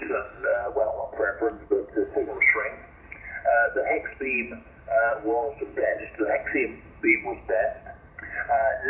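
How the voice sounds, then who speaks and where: A man's voice: medium pitch, gravelly, narrow, tinny audio. A man chatting unscripted as an amateur radio operator over shortwave radio, rambling and hesitant in a chatty way. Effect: shortwave radio transmission. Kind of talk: unscripted two-way ham radio chat. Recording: crackly, noisy, echoey shortwave radio reception.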